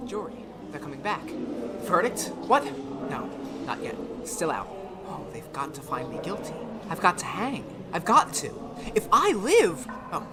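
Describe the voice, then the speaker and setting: A woman playing a tormented, unravelling murderer in a retro radio horror drama, speaking in feverish, melodramatic broken phrases. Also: low voice. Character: tormented murderer Daryl, haunted, unravelling, insisting on sanity. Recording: noisy radio drama recording.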